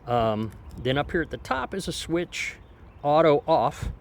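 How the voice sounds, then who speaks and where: A man's voice: a little high, expressive, unhurried. A man giving a casual, explanatory hands-on product review in an informal way, speaking outdoors on a deck. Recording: outdoor background noise.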